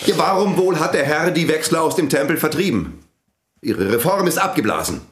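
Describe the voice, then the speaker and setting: Guy acting pompous preachy priest inventor, radio drama, really animated, echoey room. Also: fairly high pitch, fairly quick, natural voice. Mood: indignant, preaching. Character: pompous, fanatical priest who invented a world language.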